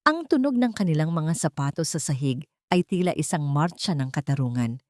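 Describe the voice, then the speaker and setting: A Filipino woman narrates a dramatic story from a script. Her voice sits on the low side, and the recording is dry and close, with little room sound. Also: expressive, normal speed, darkish tone, dry recording.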